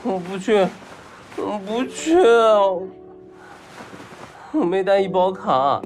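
A spoiled, stubborn young rich man in an audio drama. His voice sits a bit high and swings freely in pitch, with blunt, headstrong phrasing. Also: lively intonation, slow pace, faint music behind, exaggerated voice. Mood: woozy, stubborn. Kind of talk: Chinese audio drama acting.

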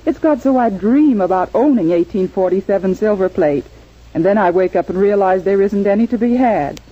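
An actress plays an admiring, longing woman in an old radio commercial. Her voice is husky and mid-pitched. The vintage recording is muffled. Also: animated, normal speed, natural voice. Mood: wistful, admiring. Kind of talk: scripted 1940s radio advertisement.

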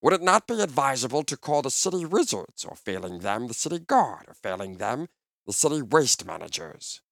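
A man's voice: mid-range voice, very animated, normal speed, vocal fry, fairly bright tone, dry recording, pitched above the performer's own voice. A man voicing a sly, mocking little goblin with oily, fawning, questioning speech in a fantasy audiobook. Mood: insolent. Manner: obsequious flowery speech, all in questions.

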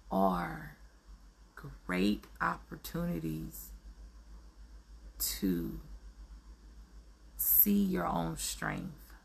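A woman with a deeply gravelly, husky voice, hoarse from allergies. She talks slowly and earnestly in a reflective solo monologue, affirming herself and stopping often to search for the next word. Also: rough recording. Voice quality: raspy. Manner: earnest, self-affirming, searching for words with many ums.

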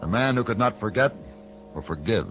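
A dull, vintage radio recording of a man playing a steely American gunfighter for hire. His voice is low with wide, dramatic swings in pitch, and he speaks in measured, terse, firm phrases in the manner of an old Western serial. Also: animated, natural voice. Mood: grave. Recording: muffled vintage radio broadcast.

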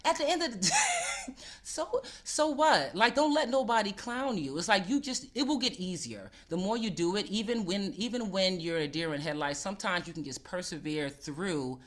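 A woman's voice: mid-range voice, big pitch swings, normal speed. A woman co-hosting a casual advice chat, candid and laughing often, swearing freely and stretching out words for emphasis.

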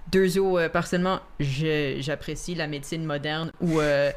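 Une femme qui coanime une discussion décontractée en direct, qui rit souvent et mélange des mots anglais à son français. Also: female voice, sits low, fairly even intonation, moderate pace. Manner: laughs often, mixes English words into French. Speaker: co-host. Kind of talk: casual chat on a live stream.

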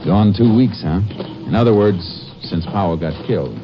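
An American actor playing a shrewd, steady Texas Ranger in a 1950s radio drama, clipped and matter-of-fact. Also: male voice, sits low, normal speed, background noise. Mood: resolute. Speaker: lead actor and first-person narrator. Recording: muffled old radio recording, music.

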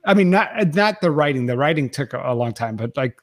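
A man's voice: fairly high pitch, animated, fairly quick, dry recording, studio quality. A man, a podcast producer, chatting enthusiastically as an interview guest, laughing often and restarting his sentences. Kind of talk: casual interview chat.

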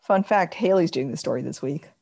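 A woman's voice: sits low, animated, brisk, gravelly, darkish tone, husky, no room sound, clean studio sound. A young adult woman chatting informally as a podcast co-host, with a wry, teasing way of talking. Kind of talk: casual banter between siblings.

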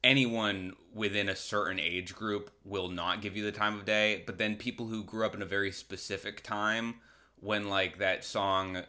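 A man's voice: lowish voice, fairly even intonation, moderate pace, bright, forceful tone. A man hosting a podcast solo, ranting casually and comedically with sarcastic, profane, colloquial talk and acted-out imagined quotes. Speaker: podcast host.

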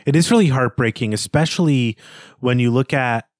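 A man speaks as a podcast co-host discussing literature in an analytical, earnest way. His pitch sits in the middle range, and he often doubles back on words to rephrase. The sound is close and dry, with very little room. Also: no echo. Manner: halting, repeats and rephrases words.

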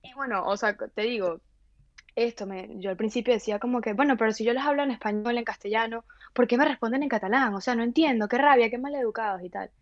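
A woman's voice: fairly high pitch, fast talker, no echo. Chatty woman, dull dry recording. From Venezuela. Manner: animated storytelling with many restarts. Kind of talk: casual conversation.

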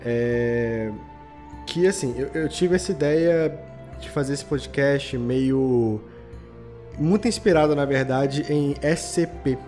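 A man's voice: mid-range voice, slow, warm tone, faint music behind. A man with a Brazilian accent speaking as a podcast guest, explaining things in an informal, rambling way. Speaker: podcast guest, audio drama creator. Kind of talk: casual interview chat.

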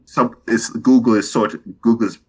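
A man's voice: medium pitch, steady tone, moderate pace, darkish tone, breathy edge. A man co-hosting a casual gaming podcast, rambling and analytical as he works through his points with many restarts. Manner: rambling, self-correcting, analytical. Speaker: podcast co-host.

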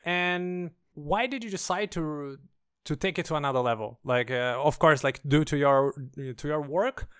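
Man, smooth voice, slightly high, friendly podcast host. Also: moderate pace, fairly bright tone, dry recording, clean studio sound. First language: Portuguese. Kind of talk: hosting an interview.

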